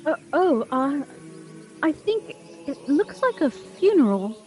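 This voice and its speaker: A woman acting in a gothic radio drama as an innocent, curious young gentlewoman with a hesitant, polite way of speaking. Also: high voice, sing-song, slow, faint music behind, exaggerated voice, poor audio.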